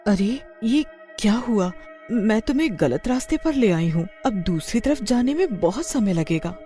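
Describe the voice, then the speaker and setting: Anxious protective mother, story role, played by a woman: fretful, animated, mellow, faint music. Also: fairly high pitch, moderate pace, pitched above the performer's own voice, natural voice. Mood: worried. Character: anxious young mother, scolding yet protective.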